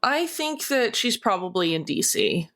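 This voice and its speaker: A woman's voice: fairly high pitch, very animated, a little slow, a bit bright. A woman chatting casually as a player in a tabletop game discussion. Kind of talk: casual chat.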